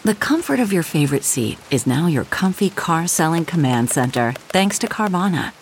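A man's voice: on the high side, sing-song, moderate pace, background noise. A man reading scripted advertisement narration as an announcer.

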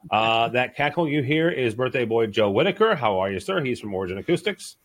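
A man's voice: mid-range voice, animated, fairly quick. A man hosting a podcast panel, with a mid-range voice. He introduces his guests with jokes and reads the news in an upbeat, chatty way. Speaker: podcast host.

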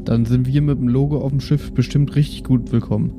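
A man's voice: medium pitch, fairly even intonation, fairly quick. A man playing a tabletop role-playing game, speaking in casual, everyday chat. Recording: background noise and room ambience.

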